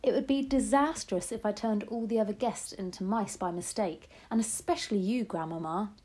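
A woman's voice: mid-range voice, normal speed, dark tone, natural voice. A woman voicing a clever young boy who has been turned into a mouse, earnest and eager.

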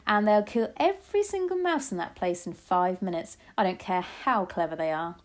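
A woman's voice: medium pitch, normal speed, natural voice. A woman voicing an eager, clever and slightly anxious young boy turned mouse in a storybook reading. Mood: excited. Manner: excitable, earnest exclamations. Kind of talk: storybook read-aloud.

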